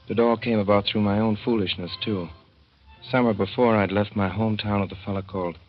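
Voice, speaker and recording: A naive young man in a 1930s radio play tells his own story in a folksy, plain-spoken way, as a man voices him. His voice is deep, and the broadcast sound is muffled and dull.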